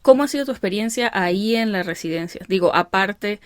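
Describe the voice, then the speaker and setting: A woman's voice: medium pitch, animated, a little fast, no echo, studio quality. A woman host, interviewing a guest in a supportive, conversational way. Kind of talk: casual interview chat.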